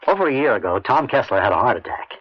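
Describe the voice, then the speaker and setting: A man's voice: mid-range voice, big pitch swings, fast talker, no room sound. A man narrating a scripted radio spot as an announcer. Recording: dull vintage radio recording.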